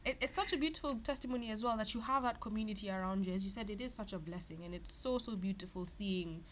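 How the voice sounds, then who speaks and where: A woman hosting a podcast, affirming and encouraging her guest, quick to laugh. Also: medium pitch, slight background noise. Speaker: podcast host. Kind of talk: casual podcast conversation.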